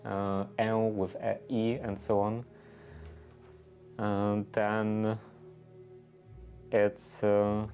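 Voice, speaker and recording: Slow, flat-voiced man. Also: lowish voice, faint music behind. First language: Polish. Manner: hesitant, searching for words. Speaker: livestream host. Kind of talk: casual livestream chat while knitting.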